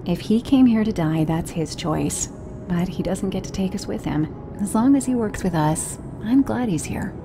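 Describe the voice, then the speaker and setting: A woman's voice: mid-range voice, lively intonation, moderate pace, music in the background, close to an everyday voice. A woman playing a cool, pragmatic mercenary team leader in a video game, speaking in a controlled, matter-of-fact way. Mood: wary, frank. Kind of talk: video game cutscene voice acting.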